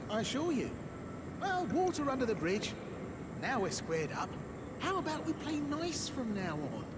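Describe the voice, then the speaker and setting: A man's voice: very high-pitched, heavy background noise, exaggerated voice. A man voicing a sly, treacherous male bandit merchant in a video game, mocking and wheedling with chuckles.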